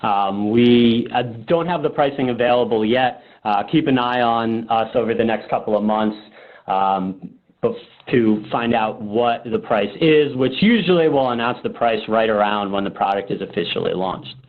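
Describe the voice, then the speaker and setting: A man's voice: mid-range voice, moderate pace. A man giving an informal webinar presentation, talking in a loose, conversational, improvised way. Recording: muffled, phone-like web conference audio.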